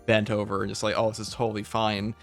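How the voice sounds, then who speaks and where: Low-pitched man talking games casually. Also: completely flat delivery, fast talker, creaky, soft background music. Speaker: gaming YouTuber. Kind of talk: casual video game discussion.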